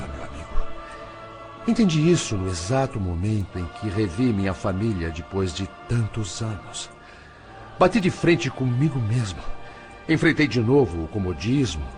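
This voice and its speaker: A man's voice: lowish voice, a little mellow. An actor playing an anguished, hot-tempered but repentant grandfather spirit in an audio novel, pleading and emotional, in an emphatic confessional manner. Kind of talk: dramatised audio novel acting.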